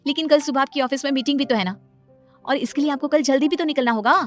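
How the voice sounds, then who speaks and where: A woman plays a fond, teasing young wife and mother in a cartoon story. She nags playfully in a high voice with faint music behind. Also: fast talker, a little mellow, close to an everyday voice. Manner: playful, nagging.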